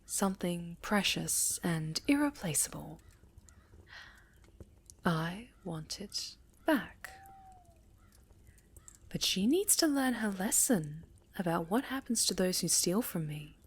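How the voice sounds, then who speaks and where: A woman playing a sinister, seductive necromancer villain in a fantasy ASMR roleplay, soft-spoken and half-whispered, with menacing, deliberate delivery. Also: fairly low pitch, animated, breathy edge, full character voice. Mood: sinister, controlling. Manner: slow, deliberate, menacing, savoring pauses.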